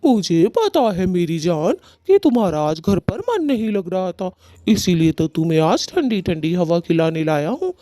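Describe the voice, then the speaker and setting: Woman as a doting, mellow bird husband. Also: on the low side, sing-song, normal speed, no room sound, clean studio sound. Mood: tender, content. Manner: affectionate, gentle. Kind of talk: Hindi cartoon voice acting.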